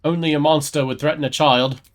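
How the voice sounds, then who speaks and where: A man voicing a troubled adventurer in a video game, speaking formally in a measured, earnest way. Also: fairly high pitch, fairly even intonation, natural voice. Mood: grave. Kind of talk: dramatic read of game dialogue.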